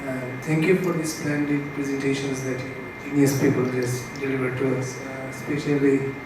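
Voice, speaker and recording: Flat-toned Bangladeshi man in an echoey hall. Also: mid-range voice, normal speed. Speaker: audience questioner. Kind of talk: polite spontaneous question at a conference. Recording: very echoey, distant hall mic, noisy.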